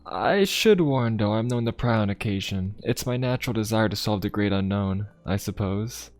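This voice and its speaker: A man playing a courteous, inquisitive young pope of a steampunk fantasy world in an ASMR roleplay, speaking politely, formally and in a gently musing way. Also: lowish voice, lively intonation, normal speed, lightly acted. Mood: reassuring, curious. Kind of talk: ASMR roleplay addressed to the listener. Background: music.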